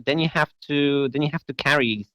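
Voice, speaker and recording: Hesitant young Polish game master. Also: male voice, a little high, fairly quick, pushed and bright, slight background noise, poor audio. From Poland. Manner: hesitant, self-deprecating, often checks in with "right?". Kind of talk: casual online tabletop game chat.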